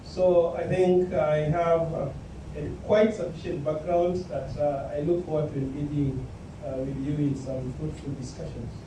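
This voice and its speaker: A man from Kenya speaking on a conference panel, explaining his organization's work in a measured way with a few fillers. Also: fairly high pitch, flat delivery, noisy background. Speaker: panelist from a public ICT organization. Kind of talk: panel talk. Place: conference hall. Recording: echoey hall, far from the mic.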